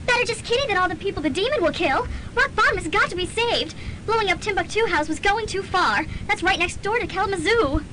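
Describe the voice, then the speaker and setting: A woman's voice: lively intonation, quick pace, pushed and bright, full character voice. An actress playing a sweet, polite, girlish young woman who is cheerfully ruthless. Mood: chirpy, matter-of-fact. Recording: faintly noisy student radio recording, rumble.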